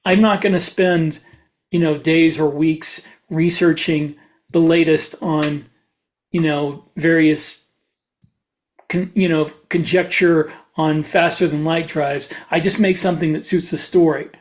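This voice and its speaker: A man's voice: a little high, fairly even intonation, a little slow. A man co-hosting a podcast, talking casually in an informal panel chat. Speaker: podcast co-host and science fiction writer.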